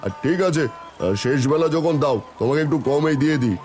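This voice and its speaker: A pushy village fishmonger in a cartoon, acted by a man, with a fairly high male voice. He boasts and bargains over his catch, with faint music behind. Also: lively intonation, fast talker, a little mellow, close to an everyday voice. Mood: firm, bargaining. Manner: hawking, bargaining. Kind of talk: Bengali cartoon voice acting.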